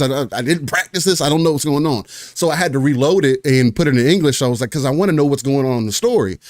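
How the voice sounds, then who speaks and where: A man chatting about games on a podcast, his voice mid-pitched and ordinary. He rambles, restarts sentences and fills gaps with ums. The sound is dry and close, with no room around it. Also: no echo. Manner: rambling, many fillers and restarts. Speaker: podcast host. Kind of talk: casual gaming chat.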